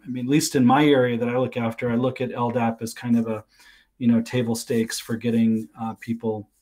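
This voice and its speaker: A man's voice: mid-range voice, flat delivery, a little fast, darkish tone. A middle-aged man giving explanatory technical talk as a guest expert on a livestream video call, thinking aloud and qualifying his points as he goes. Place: small attic room at home.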